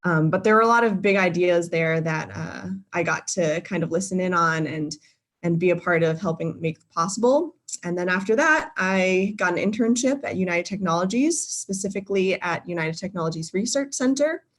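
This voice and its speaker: A woman's voice: fairly low pitch, normal speed. A young woman in her twenties, a guest speaker giving a casual online talk about her career, with many ums and restarts. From Boston, Massachusetts. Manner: friendly, many filler ums and uhs, self-correcting. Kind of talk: casual presentation to a live virtual audience. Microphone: video call.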